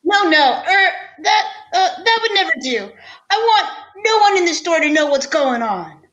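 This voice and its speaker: A woman plays a nervous male executive in an old-time radio detective show. The voice is extremely high and sharp, with lively swings. He hesitates and frets, stammering in a fussy way. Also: moderate pace, full character voice. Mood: worried. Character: flustered, anxious male store executive hiring a detective.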